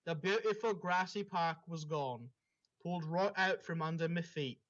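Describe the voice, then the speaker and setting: A man's voice: on the high side, normal speed, fairly bright tone. A man reading a story aloud to friends in a casual way, over a dull lo-fi voice chat. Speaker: story reader.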